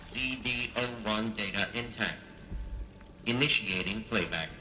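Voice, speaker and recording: A man's voice: fairly low pitch, measured pace, sharp tone, low-quality recording. A man voicing a polite, impassive facility computer in a video game, making formal announcements. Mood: impassive, courteous. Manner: formal, courteous, procedural. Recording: clear background ambience noise.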